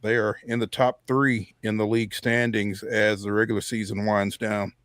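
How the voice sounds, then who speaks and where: A man co-hosting a sports radio show, talking casually and deliberately with pauses. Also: fairly low pitch, little pitch movement, a bit bright, no room sound, clean studio sound.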